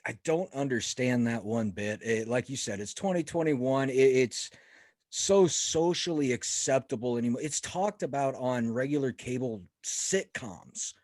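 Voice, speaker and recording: A man's voice: mid-range voice, unhurried, darkish tone, no echo, clean studio sound. A man hosting a casual interview show, upbeat and chatty as he asks questions. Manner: upbeat, chatty, laughs a lot. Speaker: podcast host.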